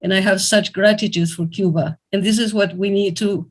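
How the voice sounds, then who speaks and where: A woman's voice: mid-range voice, steady tone, normal speed. Earnest woman from Ecuador telling a story. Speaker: event host. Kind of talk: fundraising appeal and personal story.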